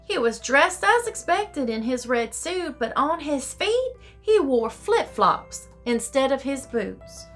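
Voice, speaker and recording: A woman telling stories to children in an expressive, theatrical, deliberate way. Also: high-pitched. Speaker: storyteller reading to children. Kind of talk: expressive storybook reading in rhyme. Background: music.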